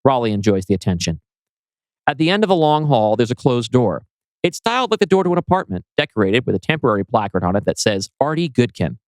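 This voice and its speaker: A man's voice: medium pitch, big pitch swings, fast, a little mellow, dry recording, rough recording. A man reading scripted screenplay narration as writer and narrator.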